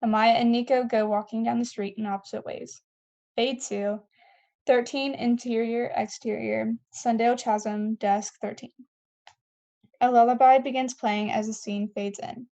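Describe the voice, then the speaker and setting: Woman narrating a script read, soft-edged mellow tone, bit high. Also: fairly even intonation, measured pace. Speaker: narrator reading stage directions.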